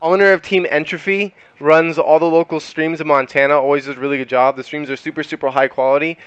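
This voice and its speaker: A man's voice: on the high side, steady tone, fast, clean tone, highs cut off. A young man hosting a gaming podcast, chatting loosely with many fillers and laughs. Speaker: podcast host. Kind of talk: casual podcast chat.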